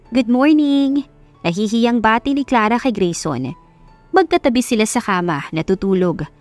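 A woman delivering scripted, dramatic narration of a love story as its narrator. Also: medium pitch. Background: background music.

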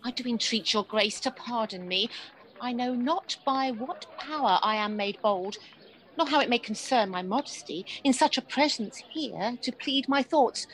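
A woman's voice: a little high, normal speed, a bit bright, lightly acted, low-quality recording. A woman acting a defiant young maiden in a Shakespearean radio play, earnest and formal. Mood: pleading. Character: defiant young maiden pleading her love.

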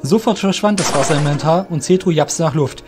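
A man's voice: on the high side, quick pace. A male narrator tells a fantasy story in scripted audio drama style. Faint music plays behind his voice.

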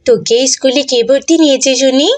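A woman's voice: high-pitched, brisk, close to an everyday voice. A woman voicing an arrogant rich schoolgirl bully in a cartoon, with a haughty, taunting way of talking. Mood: sneering.

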